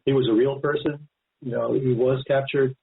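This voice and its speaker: Male interview guest on a thin phone-like line, medium pitch, conversational and emphatic, prone to digressions. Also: fairly even intonation, moderate pace, gravelly. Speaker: guest author. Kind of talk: radio interview, extended explanation. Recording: narrow-band phone-like line.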